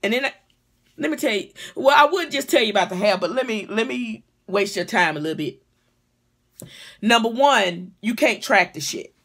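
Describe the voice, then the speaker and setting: A woman's voice: fairly high pitch, expressive, normal speed, fairly bright tone. Blunt, sweary middle-aged woman vlogger. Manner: blunt, sweary, comically exasperated. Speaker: vlogger reviewing a wig. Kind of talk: casual vlog chat. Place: small living room or bedroom at home, indoors. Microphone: phone or webcam at arm's length.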